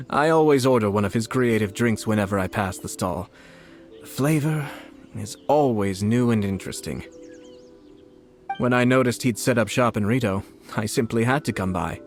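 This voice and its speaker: A man's voice: mid-range voice, lightly acted. Game nobleman, male actor: mid-range voice, composed, formal, dryly curious, faint music behind. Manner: polite, measured, formal. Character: composed, refined young lord, enigmatic, dryly curious. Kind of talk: video game character dialogue.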